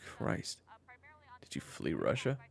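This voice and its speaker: A man playing a very deep, gravelly, deadpan ex-thief. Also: slow, full character voice. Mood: weary. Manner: deadpan, dry humor. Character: jaded, dryly sarcastic former thief and detective-like dad. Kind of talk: audio roleplay acting, phone conversation.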